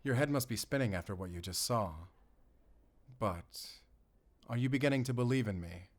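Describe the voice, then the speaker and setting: An actor playing a mysterious, protective and wry German-speaking werewolf hunter in an intimate audio roleplay, soft-spoken and teasing. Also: male voice, mid-range voice, lively intonation, normal speed, natural voice. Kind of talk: cinematic audio roleplay, intimate bedtime storytelling.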